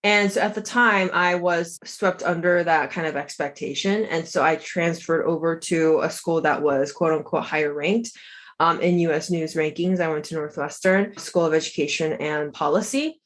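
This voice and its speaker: A woman's voice: low-pitched, fairly even intonation, moderate pace. A woman in her thirties who grew up in South Korea, a lawyer and health coach answering interview questions in a reflective, chatty way. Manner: reflective, chatty, lots of "like" and restarts.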